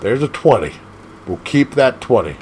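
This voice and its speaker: A man casually commenting on an arcade game as he plays, excitable and laughing often, leaping from squeaky highs to low growls. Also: moderate pace, slight background noise. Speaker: gaming channel host.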